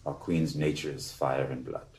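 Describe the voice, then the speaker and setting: Grave fantasy adviser, very deep voice, insistent, roomy recording. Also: male voice, level tone, normal speed, a little mellow. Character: grave, cynical adviser warning about his queen. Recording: roomy, faintly noisy.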